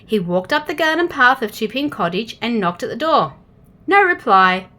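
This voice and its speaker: A woman with an Australian accent reading a children's storybook aloud in an expressive way, addressing young listeners directly. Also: on the high side, normal speed. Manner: expressive, asks the listener questions.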